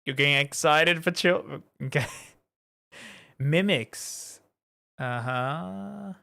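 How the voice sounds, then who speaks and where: A man's voice: fairly high pitch, very slow, gravelly, bright, forceful tone. A chatty, amused man giving casual commentary as he plays a game. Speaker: gaming streamer.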